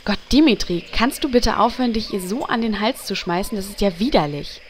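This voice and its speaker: An actress playing a catty, vain, jealous young heroine in a parody audio drama, snippy and exaggerated. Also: female voice, medium pitch, expressive, a little fast, a little hiss or hum, natural voice.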